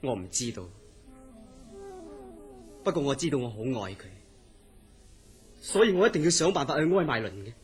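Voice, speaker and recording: Actor as obsessed dreamer, dramatic, swooping Cantonese delivery. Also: male voice, mid-range voice, sing-song, moderate pace, pauses often, soft background music, close to an everyday voice. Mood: obsessed, intense. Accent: Hong Kong Cantonese. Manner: earnest, dramatic storytelling. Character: troubled dreamer man, obsessed with a mysterious dream city. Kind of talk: Cantonese radio horror drama acting.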